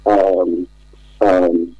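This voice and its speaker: Halting, low-voiced Nigerian man, mellow. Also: flat delivery, no echo, lo-fi sound. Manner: halting, deliberate, pausing often to search for words. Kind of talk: radio interview, explanatory answers.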